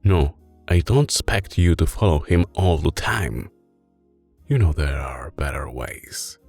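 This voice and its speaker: A man playing a soft-spoken mafia boss boyfriend in an ASMR roleplay, menacing yet doting, with an Italian accent and a deep, creaky, intimate delivery. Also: animated, exaggerated voice. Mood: cold, commanding. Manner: slow, deliberate, controlled, intimate. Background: music.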